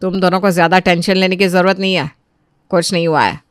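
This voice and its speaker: A woman acts out an older, domineering mother-in-law in a family drama. She scolds in a raised, exasperated voice with a sharp manner, recorded close and dry. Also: on the low side, steady tone, fast, smooth, no room sound, natural voice. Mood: stern, dismissive. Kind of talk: Hindi family audio drama acting.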